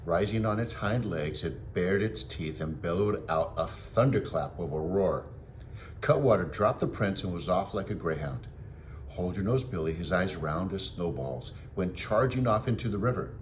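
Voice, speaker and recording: A man, a teacher, reading a children's storybook aloud in an expressive way. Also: sits low, normal speed, slight background noise.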